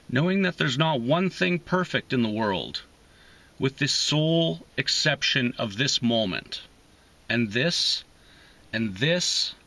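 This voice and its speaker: A man in his thirties reading poetry aloud with deliberate pauses between short phrases, as host of a reading series, recorded inside a parked car. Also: on the high side, bright, forceful tone. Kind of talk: slow, emphatic poetry reading.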